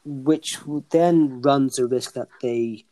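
Slow, formal man with a fading voice. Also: mid-range voice, mellow, no echo, rough recording. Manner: formal, elaborate wording with frequent restarts. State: losing his voice. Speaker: podcast co-host. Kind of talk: podcast discussion.

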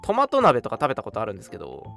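A man co-hosting a radio show in casual chat, often chiming in with quick agreement. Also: medium pitch, huge pitch swings, creaky, a bit bright. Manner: frequent quick agreeing backchannels. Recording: music playing under the talk. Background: background music.

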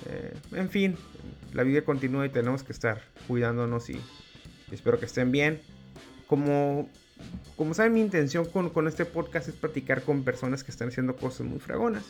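A man's voice: mid-range voice, lively intonation, normal speed, soft background music. A man in his forties with a Mexican accent hosting a podcast in a casual, slangy way and swearing freely. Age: 40s. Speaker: podcast host. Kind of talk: podcast introduction and casual interview chat.

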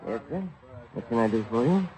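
A man playing a deferential, animated shop clerk. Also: mid-range voice, fairly quick, close to an everyday voice, low-quality recording. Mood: politely puzzled. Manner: formal, servile politeness. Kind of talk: 1940s radio crime drama acting.

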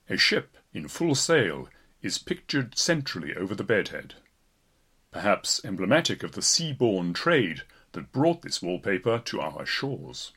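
A man's voice: fairly low pitch, fairly bright tone. A man reading a written blog post aloud as scripted narration, in the role of a volunteer narrator. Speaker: volunteer reader of an audio blog.

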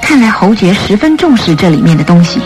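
A woman's voice: medium pitch, sing-song, fairly quick. Female radio drama narrator over music. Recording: studio radio play with music bed.

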